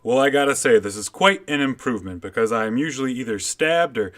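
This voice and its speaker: A man voices a beleaguered street reporter in a comic newscast. His pitch is medium and his delivery is conversational. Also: animated, fast, a bit bright, natural voice. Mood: relieved. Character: casual, beleaguered male on-the-street news reporter. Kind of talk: parody newscast.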